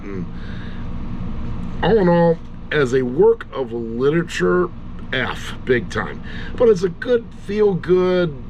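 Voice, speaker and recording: A man's voice: mid-range voice, rough recording. A middle-aged or older man giving a casual, rambling review in a wry, self-deprecating way, as the host of his own channel. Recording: steady loud air-conditioner-like background hum.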